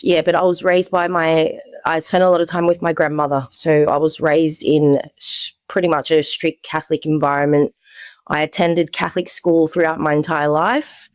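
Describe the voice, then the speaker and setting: A young woman with a notably low voice, recorded dry and close with no room sound. She tells her personal story candidly, in a relaxed conversational way. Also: normal speed, clear, resonant tone, no room sound. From Australia. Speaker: guest. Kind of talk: personal testimony.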